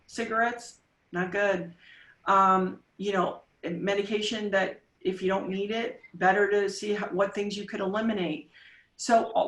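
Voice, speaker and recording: A woman's voice: mid-range voice, far from the mic. Emphatic woman in her forties, roomy sound. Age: 40s. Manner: emphatic, coaching, punchy rhetorical questions. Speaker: podcast guest. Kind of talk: casual interview talk.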